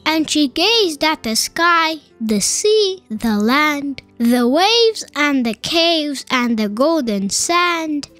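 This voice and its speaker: A woman narrating a children's picture book aloud in an expressive, deliberate storytelling style. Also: high-pitched. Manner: animated, unhurried, deliberate phrasing. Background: children's music.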